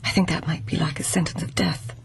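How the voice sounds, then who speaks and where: A woman acting in a radio drama as a gentle, loyal lady of the court, earnest and careful, with a softly husky voice. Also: low-pitched, steady tone, a little fast, in a whisper. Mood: deferential, steadfast.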